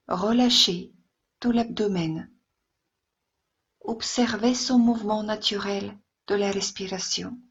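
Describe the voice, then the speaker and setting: Slow, breathy woman guiding relaxation. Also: on the high side, muffled recording. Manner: soothing, gentle, with long pauses. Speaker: yoga instructor. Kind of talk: guided relaxation meditation.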